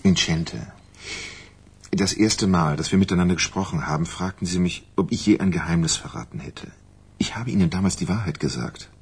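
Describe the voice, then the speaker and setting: Blunt wry writer type, radio crime drama, really raspy lowish voice. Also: male voice, normal speed, exaggerated voice. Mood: serious, insistent. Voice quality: husky. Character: Artie, a blunt, wry, unruffled male writer.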